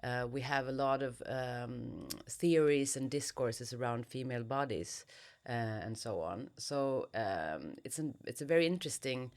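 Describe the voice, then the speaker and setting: Female interviewee, Swedish accent. Unhurried, hesitant, thoughtful delivery with noticeable vocal fry. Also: rumbling low, darkish tone. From Sweden. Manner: hesitant, searching for words with frequent pauses. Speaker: interviewed novelist. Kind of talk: reflective interview answers.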